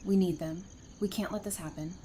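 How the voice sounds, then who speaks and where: A woman's voice: fairly low pitch, fairly even intonation, a little fast, dark tone, a little hiss or hum, lightly acted. A woman playing a frank, caring female character in an audio drama, speaking directly and informally. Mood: concerned, pleading.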